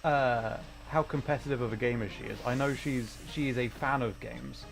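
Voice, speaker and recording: Male game streamer, mid-pitched, chatty and excitable, casual live commentary, clear game music behind. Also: normal speed. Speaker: video game livestreamer. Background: video game music.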